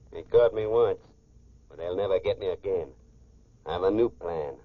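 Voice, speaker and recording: Deep-voiced cocky young radio bandit. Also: male voice, unhurried, dry recording. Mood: gloating, scheming. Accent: American. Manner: cocky, commanding, curt. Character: scheming, ruthless young male athlete turned bandit killer. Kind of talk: 1940s radio crime drama acting. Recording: tinny, band-limited old radio recording.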